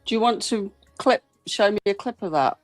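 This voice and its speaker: A woman's voice: medium pitch, lively intonation, normal speed, no echo, faint music behind. Dismissive, defensive woman in an argument. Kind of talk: heated panel argument.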